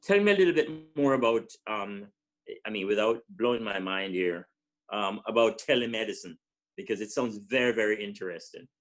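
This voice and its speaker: A man's slightly low voice as he hosts an interview, easing into friendly questions. He pauses and fills gaps with short fillers as he goes. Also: lively intonation. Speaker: show host, city manager. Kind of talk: interview hosting.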